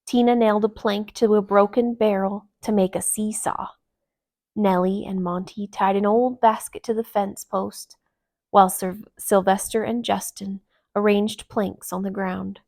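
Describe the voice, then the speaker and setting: Slow-paced woman reading a children's story. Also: mid-range voice, level tone, creaky, a little mellow. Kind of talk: expressive read-aloud narration for children.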